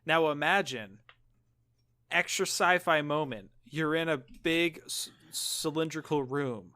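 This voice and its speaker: A man's voice: on the high side, takes it slowly, sharp tone. A man co-hosting a podcast, telling stories in an informal, sweary way. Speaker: podcast co-host. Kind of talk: casual chat, storytelling.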